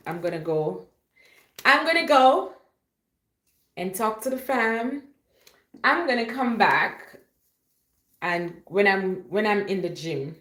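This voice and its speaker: A woman chatting casually and playfully to her livestream viewers, rambling from one thing to the next. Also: fairly low pitch. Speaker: livestream host. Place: indoor room at home. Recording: roomy, echoey home livestream.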